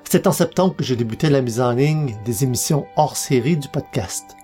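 A man hosts a podcast, reading scripted narration in a measured, formal way. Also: mid-range voice, dark tone, soft background music. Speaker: podcast host.

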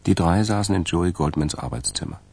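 An actor playing the dry, detached narrator of a radio crime story, reading scripted narration in a gravelly voice. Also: male voice, low voice, animated, brisk, darkish tone, full character voice.

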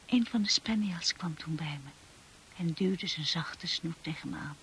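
An actress playing a shy, insecure young wife in a radio drama, with a hushed, breathy voice and a timid, hesitant way of talking. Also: female voice, fairly low pitch, measured pace, slight background noise, poor audio. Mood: wistful, uneasy. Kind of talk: radio drama narration and acting.

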